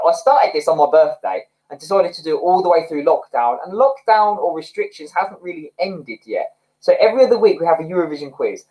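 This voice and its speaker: A man's voice: on the high side, darkish tone, rough recording. A man hosting a casual livestream from home, reading viewer comments, chatty and self-mocking and often laughing. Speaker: livestream host. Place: home, indoors.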